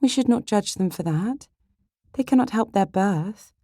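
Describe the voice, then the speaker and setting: A woman voicing a proper, gentle, easily shocked young gentlewoman in a period audiobook, prim and polite in manner. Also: medium pitch, animated, a little slow, no echo, clean studio sound, pitched above the performer's own voice.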